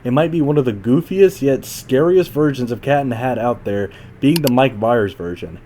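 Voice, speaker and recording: A man's voice: mid-range voice, expressive, moderate pace, warm tone, slight background noise. A man hosting a podcast, giving a scripted story recap with informal, wry asides. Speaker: podcast host and reviewer. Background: music.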